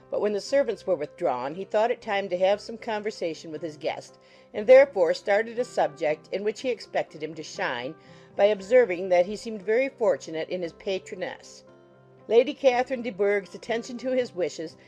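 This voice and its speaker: A woman's voice: mid-range voice, a little mellow, low-quality recording. A woman narrating a classic novel as a scripted audiobook.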